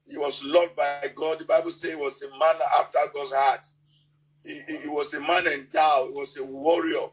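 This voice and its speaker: A man with a Nigerian accent preaching a sermon, halting and often repeating words as he restarts his sentences. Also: fairly high pitch, little pitch movement, rough recording.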